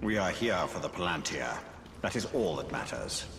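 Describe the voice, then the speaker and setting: Very deep, gravelly regal wraith. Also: male voice, very animated, background noise, exaggerated voice. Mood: cold, resolute. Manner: solemn, imperious, measured. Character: grim, regal male wraith ring-maker, ancient and domineering. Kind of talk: dramatic video game acting. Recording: music behind the voice.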